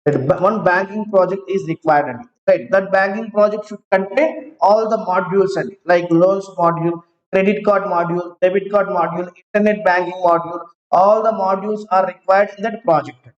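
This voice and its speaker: A man teaching an online class, talking slowly, repeating each phrase and checking that students follow. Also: sits high, steady tone. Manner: slow, repeats each phrase several times; asks students to confirm they follow. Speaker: IT trainer. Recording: roomy, dull lo-fi online class audio.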